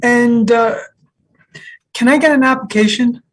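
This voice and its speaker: An earnest, awkward young guy at a café voiced by a woman, with a high, husky voice. The delivery is slow and deliberate, in a comedy table read. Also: rough recording. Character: eager, awkward male café customer, Noah.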